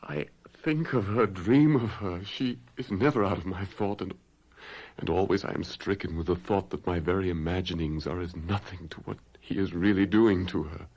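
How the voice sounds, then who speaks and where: An actor playing a tormented gentleman lover in radio drama, fervent and melodramatic in formal period speech. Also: low-pitched, normal speed, low-quality recording. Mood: tormented, emotional. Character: anguished young gentleman lover, passionate, desperate, tormented. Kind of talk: 1970s radio drama acting.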